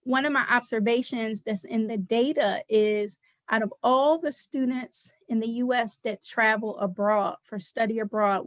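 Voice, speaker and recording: Emphatic woman with a high voice. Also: fairly bright tone, no room sound. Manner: earnest, emphatic, repeats words for stress. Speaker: guest, nonprofit executive director. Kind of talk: podcast interview answer.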